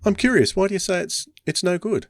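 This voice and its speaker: A man's voice: sits high, lively intonation, quick pace, dry recording, clean studio sound. A man hosting a podcast, chatting casually with guests about audio dramas. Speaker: podcast host.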